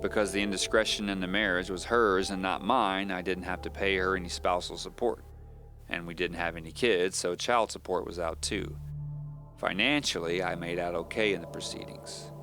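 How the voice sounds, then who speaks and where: A man playing a secretive, bitter divorced novelist confiding deliberately in a first-person audio drama monologue. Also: deep voice, fairly even intonation, over music. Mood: wry, restrained. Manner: deliberate, confiding, storyteller's delivery. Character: secretive, bitter divorced male suspense novelist confessing on tape. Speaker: narrator playing a fictional novelist.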